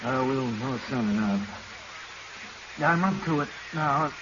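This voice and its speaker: A man's voice: mid-range voice, expressive, slow pace. A male actor playing a hot-tempered, resentful diver with an American accent and a curt, edgy manner, in 1940s radio drama style. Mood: tense, focused. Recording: muffled, noisy vintage radio recording.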